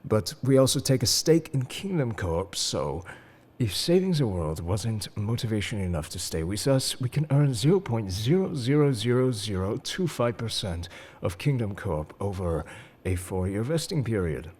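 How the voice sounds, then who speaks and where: A man voices an enigmatic, suave male secret agent in an intimate audio drama. His voice sits fairly low with a husky grain, and it swings widely in pitch as he coaxes and flirts. Beneath the theatrical charm he is quietly weary of the world. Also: sing-song, normal speed. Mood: playful, coaxing. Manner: smooth-talking, coaxing, theatrical. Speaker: voice actor in fan audio roleplay. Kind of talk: intimate scripted roleplay addressed to the listener.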